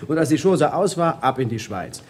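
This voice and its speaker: A man's voice: mid-range voice, normal speed, lightly acted. An actor playing a worldly, amused, cynical bourgeois ex-revolutionary, telling his stories expansively and sardonically in a radio drama. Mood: amused, sardonic. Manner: sardonic, animated, expansive storyteller. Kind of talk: 1970s German radio drama acting.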